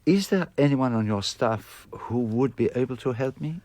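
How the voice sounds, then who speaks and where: A man's voice: medium pitch, expressive, moderate pace, mellow, natural voice. An actor playing a courteous male detective who questions formally and persistently in a radio mystery drama. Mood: polite, probing. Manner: polite, formal, probing questioner.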